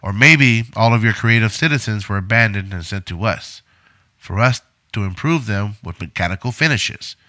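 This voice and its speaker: An actor playing a controlling, self-assured middle-aged inventor in an audio drama, speaking in a measured, instructive way. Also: male voice, fairly low pitch, sharp tone, dry recording. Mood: smooth, persuasive.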